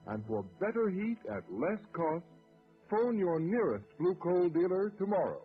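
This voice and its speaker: A man's voice: high-pitched, very animated, a little slow, faint music behind. A male radio announcer with an emphatic, salesman-like delivery in 1940s radio style. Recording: dull old radio broadcast.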